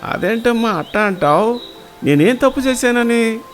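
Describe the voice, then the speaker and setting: A man's voice: very high-pitched, animated, normal speed, soft background music. A man playing a greedy, rash village woodcutter in a children's moral story, talking in a wheedling, colloquial rural Telugu accent. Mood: indignant. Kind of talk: animated moral story acting.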